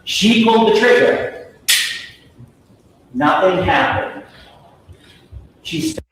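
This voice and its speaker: Male prosecutor delivering a closing. Deliberate, slow, emphatic phrasing, heard in a very echoey room from far off. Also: high-pitched, expressive, a bit bright. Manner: emphatic, declarative, short repeated phrases. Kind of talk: courtroom closing argument. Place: large indoor courtroom. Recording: echoey courtroom from far off, faint noise.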